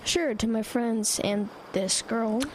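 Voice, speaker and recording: A timid, nervous young schoolboy. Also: female voice, a little high, steady tone, slow pace, slight background noise, low-quality recording. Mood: hesitant. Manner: hesitant, apologetic. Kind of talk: horror audio drama.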